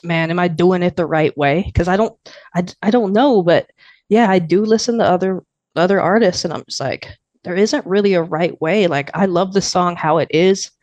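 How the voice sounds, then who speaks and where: A man's voice: moderate pace, a little mellow, no echo. Laid-back young man with a dry sound. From Arizona, USA. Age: 20s. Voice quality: fairly high voice. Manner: laid-back, rambling, grateful. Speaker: guest producer and emcee. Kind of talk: casual podcast chat.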